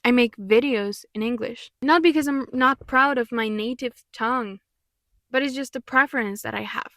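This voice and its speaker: A woman's voice: a little high, moderate pace, fairly bright tone, no echo, clean studio sound. A young woman from Mexico with a Mexican accent, whose first language is Spanish, giving candid, reflective commentary as a vlogger. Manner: earnest, candid, sometimes exasperated. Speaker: vlogger and pop artist.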